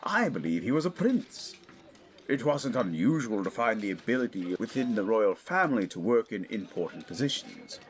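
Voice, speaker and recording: An actor playing a learned, eager 1920s English archaeologist and father in an audio drama, middle-aged, speaking in a formal, professorial, deliberate way. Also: lowish voice, big pitch swings, slight background noise. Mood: fervent, proud. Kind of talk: scripted audio drama acting.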